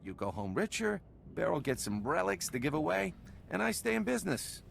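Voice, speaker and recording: A cynical, money-minded smuggler from a video game. His voice sits a little high and crackles with heavy creak. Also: sing-song, a little fast, a bit bright, exaggerated voice. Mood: bargaining. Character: greedy cynical male smuggler turned counterfeiter.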